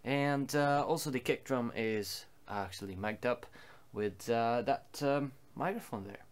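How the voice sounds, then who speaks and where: A man giving an informal explainer as a home-studio musician, speaking in a halting way with many filler pauses. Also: medium pitch, clean tone. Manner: halting, hesitant delivery with frequent long filler pauses. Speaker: home-studio musician presenting his recording process.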